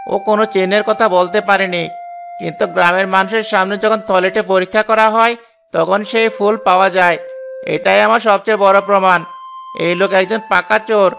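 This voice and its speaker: একজন পুরুষের অভিনয়ে চড়া গলার ধূর্ত অভিযোগকারী পুরুষ. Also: male voice, high voice, creaky, fairly bright tone, a little hiss or hum. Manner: accusing, indignant, insistent. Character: brazen scheming male accuser falsely claiming a purse. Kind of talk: dramatic cartoon voice acting.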